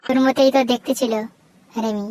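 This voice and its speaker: A woman's voice: fairly high pitch, fairly even intonation, fast talker, natural voice. A woman voicing a hot-headed, foul-mouthed male would-be hermit, brash and scolding, in a comic cartoon. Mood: angry. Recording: very noisy cartoon soundtrack.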